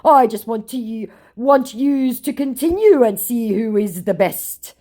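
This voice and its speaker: A woman voicing a dim, boastful male online scammer with halting broken English and an exaggerated, slow delivery. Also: fairly high pitch, expressive, a little mellow, voice pushed up. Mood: mocking, goading.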